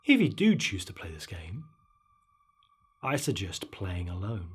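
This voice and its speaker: A man's voice: low voice, huge pitch swings, a little slow, breathy edge. A man telling a scripted horror story in a theatrical, suspenseful way. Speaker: horror story narrator and channel host. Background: dark ambient music bed.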